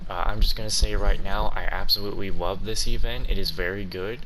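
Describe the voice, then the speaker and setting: A man's voice: fairly low pitch, steady tone, a little fast, poor audio. A man giving casual commentary as he plays through a video game. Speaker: gaming streamer. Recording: loud background noise, clicks.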